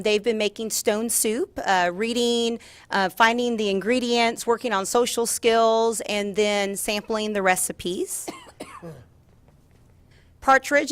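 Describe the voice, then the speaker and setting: A woman's voice: a little high, measured pace, dry recording. A woman giving a formal presentation as a school district administrator at a meeting. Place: school board meeting room.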